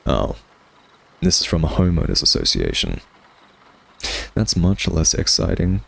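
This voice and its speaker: A man's voice: deep voice, huge pitch swings, a little slow, gravelly, fairly bright tone, husky, full character voice. A man playing a smug male vampire in an ASMR roleplay, taunting a captured hunter with a sardonic, teasing, conversational drawl. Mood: amused snooping.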